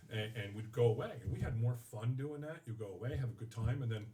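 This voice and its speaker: A middle-aged man speaks at an average pitch. His delivery is rapid and candid, with repeated words as he searches for phrasing. He sits a little far from the microphone. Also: lively intonation, crowd noise. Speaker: guest, firefighting instructor and training officer. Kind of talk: candid conversational interview. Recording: voice slightly distant from the mic.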